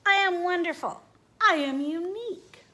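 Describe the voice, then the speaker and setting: Woman reading as proud, high-pitched beetle artist. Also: moderate pace, bright, forceful tone, slight background noise. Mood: reassuring. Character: female beetle artist, proud, kind, encouraging. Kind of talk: children's storybook read-aloud.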